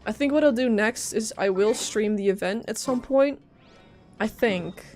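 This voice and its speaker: A woman's voice: fairly high pitch, a little slow, darkish tone. A woman streaming a video game live, commenting casually in a chatty, rambling, giggly way. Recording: faint game music behind voice. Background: faint game music.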